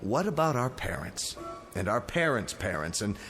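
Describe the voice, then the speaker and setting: An actor playing a stubborn, rational district attorney in a radio drama, arguing in a measured, lawyerly way. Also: male voice, fairly low pitch, big pitch swings, a bit bright, faint music behind. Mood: determined, exasperated.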